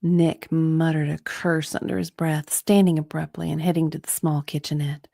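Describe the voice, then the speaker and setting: A woman narrating a dramatic romance reading in a breathy way, often dropping to a whisper. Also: deep voice, flat delivery, moderate pace, warm tone, no room sound, studio quality.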